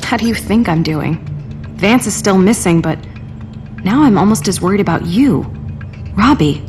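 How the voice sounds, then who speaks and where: A woman's voice: mid-range voice, lively intonation, moderate pace, natural voice. Troubled heroine, female actor: earnest, caring, mid register, dramatic game dialogue over music. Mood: worried, pained. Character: troubled, caring woman superhero, earnest and worried. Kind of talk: emotional video game dialogue.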